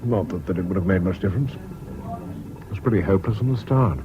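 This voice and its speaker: A man's voice: deep voice, fairly quick, lightly acted. An actor with a British accent playing a resigned, matter-of-fact man in a 1970s radio drama. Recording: dull, clearly noisy old radio recording.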